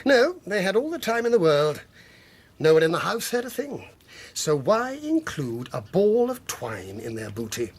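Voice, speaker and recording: An actor playing an irascible older English country squire in a radio drama, gruff and indignant, with a raspy, gravelly voice. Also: male voice, sits high, big pitch swings, moderate pace, heavily acted. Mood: blustering. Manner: gruff, indignant, old-fashioned bluster.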